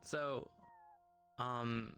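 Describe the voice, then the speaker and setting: A role-player chatting casually online in improvised scenes, with a hesitant, halting delivery. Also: mid-range voice, fairly even intonation, a bit bright. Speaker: role-player as a new guild visitor. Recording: music behind the voice. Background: music.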